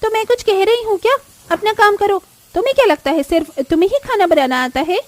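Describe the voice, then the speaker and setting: A woman voicing a vain, jealous, haughty and sharp-tongued young housewife in a cartoon. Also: very high-pitched, fairly quick, no echo, pitched above the performer's own voice. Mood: snappish. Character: vain, jealous, snappish elder sister-in-law.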